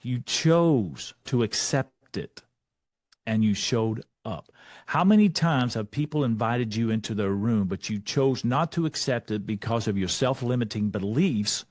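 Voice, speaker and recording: A man's voice: medium pitch, no room sound, clean studio sound. A man, telling a motivational story as a speaker and coach over an online call. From Texas. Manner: lively storyteller, animated, pausing to check notes.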